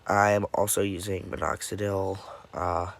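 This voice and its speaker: A young man giving a casual personal update, stuffy and congested from a cold, dead tired, talking haltingly with many pauses and fillers. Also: low voice, level tone. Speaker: vlogger.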